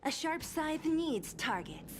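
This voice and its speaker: Slow, high-voiced young game heroine. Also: female voice, very animated, heavily acted. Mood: languid, menacing. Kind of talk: video game battle voice lines. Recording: game audio under loud music. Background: loud game music and effects.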